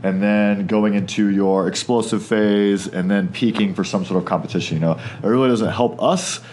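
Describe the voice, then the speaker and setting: A man's voice: fairly low pitch, fairly even intonation, moderate pace, a little mellow. A man hosting a gym community podcast in a casual, upbeat chat with a guest, with faint noise behind. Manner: upbeat, friendly, laughs easily. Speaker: podcast host.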